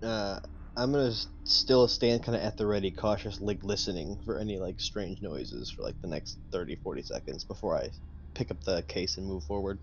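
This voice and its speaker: A man playing a tabletop game, describing his character's moves haltingly as he thinks aloud. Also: low voice. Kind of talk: casual improvised description of his character's actions. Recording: muffled mic with clear background noise.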